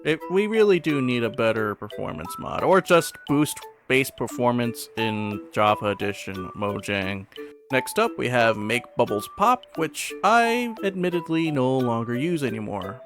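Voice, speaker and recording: A man's voice: medium pitch, expressive, a little slow, over music. A man giving chatty, informal and opinionated commentary in the style of a casual gaming video host.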